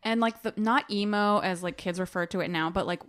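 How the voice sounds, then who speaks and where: A woman co-hosting a podcast in casual book-discussion chat, animated and jokey in manner. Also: mid-range voice, fairly quick. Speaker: podcast co-host.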